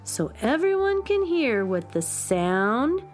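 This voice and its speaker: An actress playing an eager young music student and knowing fan, explaining emphatically in a children's radio drama. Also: female voice, high-pitched, big pitch swings, slow, exaggerated voice.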